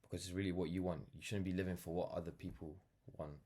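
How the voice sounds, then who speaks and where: Very deep-voiced young London man. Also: little pitch movement, fast talker, dark tone. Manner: rambling, earnest, peppered with "like" and "you know". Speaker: vlogger, brand founder. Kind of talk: casual reflective monologue to camera.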